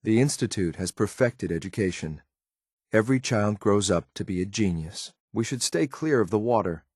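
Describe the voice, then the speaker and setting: A grim synthetic soldier from a video game, with a low voice in a dry, close recording. He speaks in clipped, deadpan phrases with cold disdain for the world around him. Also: normal speed, husky, no room sound. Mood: cold, disdainful. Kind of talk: scripted video game companion lines.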